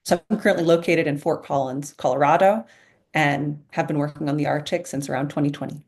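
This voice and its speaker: A woman's voice: low-pitched, steady tone, brisk, a little mellow, slightly rough. A young adult woman from the United States, a PhD candidate, introducing herself concisely on a panel over a video call.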